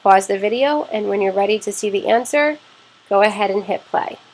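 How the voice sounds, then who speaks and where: Woman, mid-pitched voice, science teacher, instructional narration, explanatory, step-by-step. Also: a little fast, a little mellow, low-quality recording. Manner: explanatory, step-by-step, checks in with "okay".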